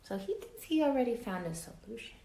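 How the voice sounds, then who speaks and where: A woman reading a children's picture book aloud expressively, with dramatic emphasis and hushed asides, pausing to question young listeners like a teacher. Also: a little high, very animated, creaky. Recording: roomy echo with faint background noise.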